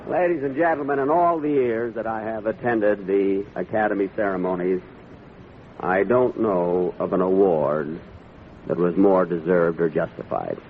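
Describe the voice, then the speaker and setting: A man's voice: deep voice, moderate pace. A man presenting at a 1940s awards ceremony, speaking formally to introduce winners and presenters. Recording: tinny old radio broadcast, faint noise.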